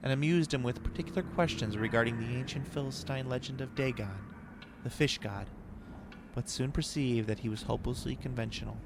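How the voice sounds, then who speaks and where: A man's voice: mid-range voice, noisy background, natural voice. A man voicing a haunted, morphine-addicted shipwreck survivor in an anguished, theatrical horror-story confession. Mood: subdued, weary. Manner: theatrical, anguished, ornate first-person confession. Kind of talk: dramatic audio horror storytelling.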